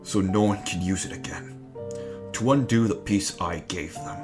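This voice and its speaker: A man playing a brooding, guilt-ridden warrior with a gravelly voice in an amateur dramatic reading. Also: low-pitched, moderate pace, natural voice. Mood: resolute. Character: brooding, guilt-ridden male warrior who rejects conqueror title. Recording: music playing behind the voice.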